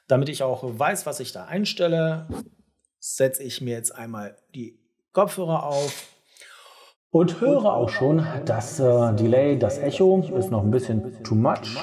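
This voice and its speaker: Male tutorial host, forties, mid-pitched and a little rough-edged, direct and instructive, explaining step by step. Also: animated, measured pace, warm tone. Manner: direct, instructive, addressing the viewer. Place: small home studio room, indoors.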